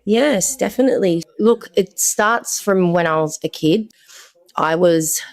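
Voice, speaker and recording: A woman's voice: on the low side, clear, resonant tone, dry recording, clean studio sound. A young woman as a guest, candidly telling her personal story in a conversational way. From Australia. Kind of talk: personal testimony.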